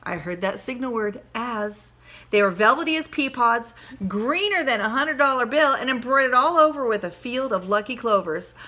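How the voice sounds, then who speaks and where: A woman teacher reading a children's story aloud in an animated, teacherly way. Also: a little high. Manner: animated, teacherly, pauses to question listeners.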